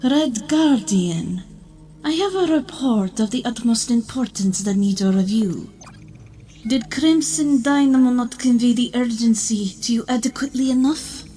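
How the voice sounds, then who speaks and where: A woman's voice: fairly high pitch, a little slow, warm tone, soft background music, close to an everyday voice. A woman playing a strange, mysterious woman who speaks stiffly and formally.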